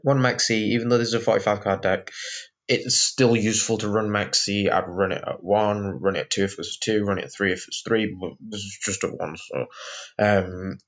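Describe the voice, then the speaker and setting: Groggy man with a low voice. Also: fairly even intonation, moderate pace. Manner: rambling, frequent ums and uhs. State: sore throat, groggy. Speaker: card game deck reviewer. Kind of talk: casual deck walkthrough. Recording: dull lo-fi recording.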